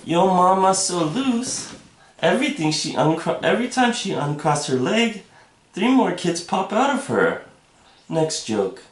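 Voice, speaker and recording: A man putting on a high falsetto to play a vain, sly female Russian spy in a comic monologue, with a mock Russian accent. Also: sing-song, unhurried, a little hiss or hum. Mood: sly boasting. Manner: falsetto, mock Russian accent, swooping sing-song pitch. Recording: echoey room, mic at a distance.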